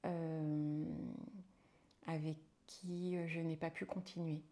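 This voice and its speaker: Hesitant woman, lowish voice. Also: little pitch movement, slow pace, dark tone. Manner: hesitant, many fillers and restarts. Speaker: hypnotherapist and midwife, guest. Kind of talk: casual conversational interview.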